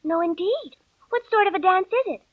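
A woman's voice: extremely high pitch, animated, fairly quick. A woman playing a polite, timid, curious little girl in a storybook dramatisation, prim and hesitant. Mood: politely curious. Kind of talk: audiobook dramatisation acting. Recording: tinny phone-like band-limited old recording.

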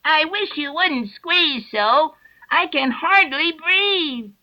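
Slow, high, sulky dormouse. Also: female voice, lively intonation. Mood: sleepy complaint. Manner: slow, drawling, grumbling. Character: sleepy, sulky little dormouse. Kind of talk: storybook character voice. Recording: dull lo-fi recording.

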